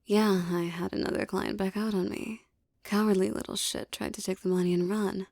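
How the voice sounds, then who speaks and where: A woman performs a streetwise female mafia boss with a soft spot for her lover. Her voice is dark and mellow with a gravelly fry. She speaks in an intimate, teasing ASMR roleplay manner, recorded very dry. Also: mid-range voice, steady tone, normal speed, dry recording. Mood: disdainful, measured.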